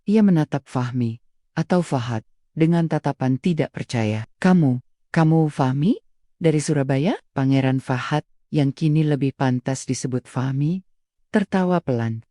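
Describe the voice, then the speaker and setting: A narrator reading a scripted story in an even, steady way. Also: medium pitch, dry recording, clean studio sound.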